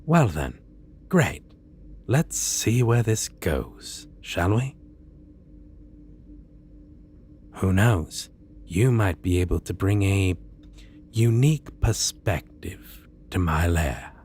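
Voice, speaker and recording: A man's voice: low voice, expressive, slow, a little mellow, a little hiss or hum. A man playing a theatrical, suave and condescending costumed supervillain in an ASMR roleplay. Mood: amused, inviting. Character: theatrical, stately, amused supervillain in costume interviewing a minion.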